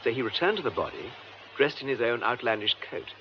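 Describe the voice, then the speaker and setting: A man's voice: mid-range voice, expressive, natural voice. Male actor as composed English detective superintendent, radio drama. Formal, methodical, varied intonation, slightly noisy recording. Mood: grave, narrating. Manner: measured, formal, precise delivery. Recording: muffled lo-fi radio drama, faint noise.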